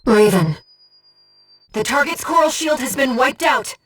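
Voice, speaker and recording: Composed, gentle female AI-like game guide, slightly distant. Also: high-pitched, lively intonation, unhurried, soft background music, full character voice. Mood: urgent, alert. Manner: composed, earnest, precise. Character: gentle disembodied female coral being, devoted mission guide. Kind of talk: video game mission support voice acting.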